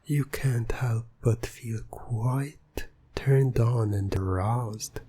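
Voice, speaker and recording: A man playing a teasing, domineering hypnotist in an ASMR roleplay, breathy and often near a whisper, with a deliberate, commanding manner. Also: medium pitch, noisy background. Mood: smug, coolly dismissive. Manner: slow, deliberate, commanding, taunting. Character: teasing, domineering male hypnotist, smug and in control. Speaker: roleplay audio performer.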